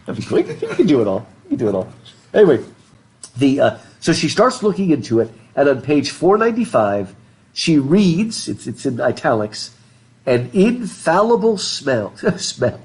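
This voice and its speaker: A man's voice: on the high side, big pitch swings, normal speed, a little mellow. A middle-aged man teaching a literature class with a stuffed up nose, chatty and laughing as he asks the class questions. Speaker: professor. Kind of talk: classroom lecture. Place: classroom with a blackboard. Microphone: laptop webcam.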